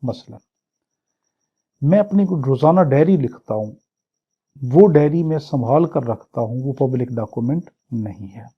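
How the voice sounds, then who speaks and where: A man's voice: mid-range voice, animated, measured pace, pauses often, husky, dull audio. A Pakistani man lecturing for exam students in a didactic way, explaining clauses and posing questions that he answers himself. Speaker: law lecturer. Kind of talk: exam-prep lecture, reading English clauses and explaining them.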